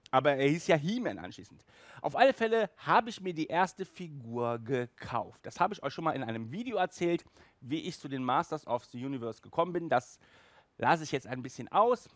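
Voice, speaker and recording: A man, presenting casually and enthusiastically to camera as a show host, with an animated, emphatic manner. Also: mid-range voice, dry recording. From Germany. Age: 40s. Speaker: collector magazine show host. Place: small indoor room with toy displays. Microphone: handheld microphone, later headset.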